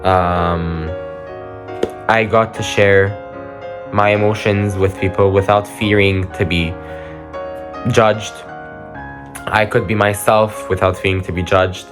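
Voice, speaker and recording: A man's voice: sits low, fairly even intonation, slow pace, long pauses, music in the background. Hesitant teenage boy, native Armenian speaker. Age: late teens. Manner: rambling, hesitant, with frequent ums and restarts. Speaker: graduating student. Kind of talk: personal farewell reflection.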